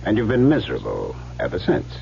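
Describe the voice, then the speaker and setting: Male for cocky, seductive radio-drama gangster. Gravelly, wildly expressive delivery, muffled vintage broadcast. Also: lowish voice, fast, slight background noise, heavily acted. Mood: goading, intense. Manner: smooth-talking, insistent, theatrical, teasing. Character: cocky, seductive escaped gangster, silver-tongued and goading. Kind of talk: old-time radio drama acting.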